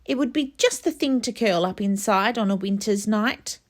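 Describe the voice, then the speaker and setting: A woman's voice: medium pitch, moderate pace, pitched above the performer's own voice, lightly acted. A woman voicing a tiny, sad, timid female mouse in a children's storybook, meek and plaintive. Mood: pitiful. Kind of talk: children's storybook read-aloud.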